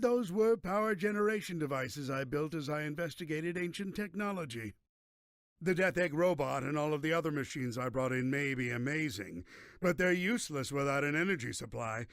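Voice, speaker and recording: Pompous mad scientist game villain, gravelly, theatrical, voice swoops all over. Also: male voice, fairly high pitch, no echo, studio quality. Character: pompous middle-aged male evil genius scientist villain. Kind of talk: video game cutscene acting.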